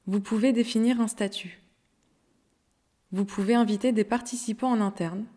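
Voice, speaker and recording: A woman narrating a scripted software tutorial in an instructional, step-by-step way. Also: mid-range voice, fairly even intonation, normal speed.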